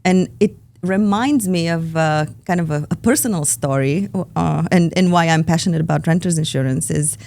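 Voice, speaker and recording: A woman with a Bulgarian accent, a podcast guest talking in an earnest way with frequent pauses in a studio. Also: low voice, vocal fry, a little mellow, no echo. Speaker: operations lead, guest. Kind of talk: podcast conversation. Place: recording studio.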